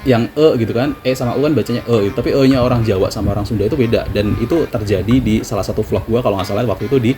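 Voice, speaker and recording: A man's voice: mid-range voice, very fast, hardly any pauses. A man with a Javanese accent, a travel vlogger, talking casually and self-deprecatingly to the camera. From Java. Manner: chatty, self-deprecating, slangy. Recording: music playing underneath.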